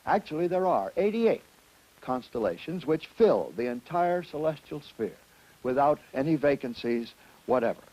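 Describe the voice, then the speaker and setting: A man speaks as an educational film presenter, his voice pitched a little above the usual male range. He lectures to students in a deliberate, didactic way. Key points get clear, emphatic phrasing. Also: sing-song, darkish tone, rough recording.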